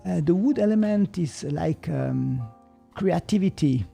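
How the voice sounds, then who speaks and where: A man with a Swiss German accent talks through ideas slowly, stopping now and then to find an English word. His voice has a gravelly edge and sits a little higher than most men's. Faint music plays softly behind him. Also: lively intonation. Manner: slow, thoughtful, gets stuck on English words. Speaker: podcast guest, martial arts teacher. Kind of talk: reflective explanatory talk.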